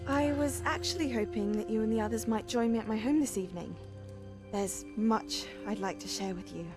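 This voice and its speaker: A woman's voice: a little high, fairly quick, over music, natural voice. A woman playing an earnest, determined young witch in a dramatic video game scene. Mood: polite, composed.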